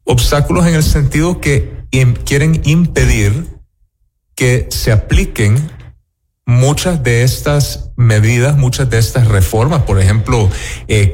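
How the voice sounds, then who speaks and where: A man's voice: medium pitch, darkish tone. A man with a Salvadoran accent, an interview guest making assertive political arguments. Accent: Salvadoran Spanish.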